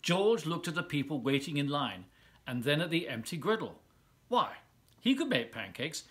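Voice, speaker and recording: A man reading a children's picture book aloud as a volunteer storyteller, expressively, with drawn-out words and dramatic pauses. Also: on the high side, big pitch swings, a bit bright.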